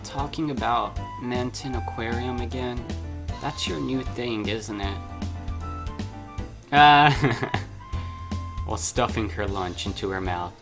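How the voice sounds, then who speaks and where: A man's voice: fairly low pitch, fairly bright tone. A man hosting a gaming video, reading a visual novel aloud and breaking in with casual, self-interrupting asides.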